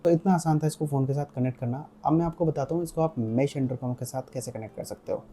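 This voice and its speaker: A man's voice: mid-range voice, fast, mellow, husky. A man with an Indian accent giving a casual product review, explaining step by step and mixing Hindi with English. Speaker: motorcycle gear reviewer.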